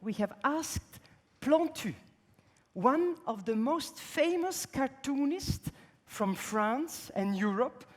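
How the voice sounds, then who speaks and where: A woman's voice: high-pitched, animated, slow. Poised woman presenter in a theatre. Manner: poised, addressing guests on stage. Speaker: ceremony presenter. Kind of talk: formal ceremony presenting. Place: large theatre stage. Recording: long hall reverb from a theatre stage.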